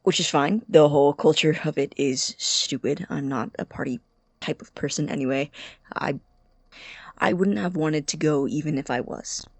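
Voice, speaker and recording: An actor playing an awkward, anxious young male college student in an audio drama, halting and rambling, with a creaky voice. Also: medium pitch, dry recording. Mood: defensive, awkward. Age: young adult. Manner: halting, stammering, rambling, nervous laughs. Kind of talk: audio drama acting as a personal voice recording.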